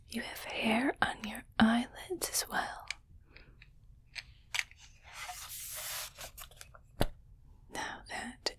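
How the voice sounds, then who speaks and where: A woman voicing a haughty, fussy alien collector in a breathy, whispered ASMR roleplay, with a condescending manner. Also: a little high, exaggerated voice. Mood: puzzled, disgusted. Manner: slow, deliberate, condescending.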